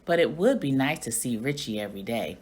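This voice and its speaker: A woman reader puts on a small, kindly classroom hamster from a storybook. The voice is very animated and a little husky. It speaks in earnest, worried exclamations. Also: very deep voice, fairly quick, voice pushed down, heavily acted. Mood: agreeable, eager. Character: small, warm-hearted, anxious classroom hamster. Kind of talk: children's storybook read-aloud.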